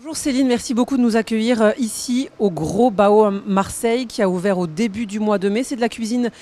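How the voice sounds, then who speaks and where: An upbeat woman presents a television food program and praises the dishes warmly. Her voice sits a little high. Soft music plays faintly underneath. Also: a little fast. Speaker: TV show host.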